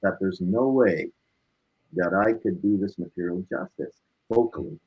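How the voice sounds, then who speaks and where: A man with a fairly low, husky voice speaks as an interview guest. His talk is casual and reflective. He is emphatic and circles back to repeat his key points. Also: measured pace, lo-fi sound.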